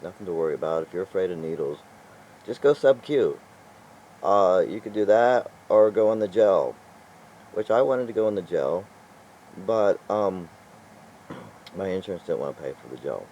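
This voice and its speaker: An older man with a low, mellow voice chats loosely to the camera. He talks in an exasperated, meandering way, chuckling and trailing off between thoughts. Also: lively intonation, normal speed, long pauses, lo-fi sound, a little hiss or hum. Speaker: vlogger. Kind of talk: casual rambling vlog talk.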